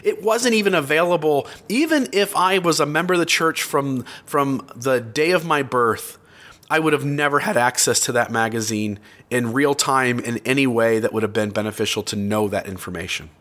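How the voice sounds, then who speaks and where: A man's voice: mid-range voice, moderate pace, a bit bright. A man of about forty giving opinionated, conversational commentary as a podcast co-host.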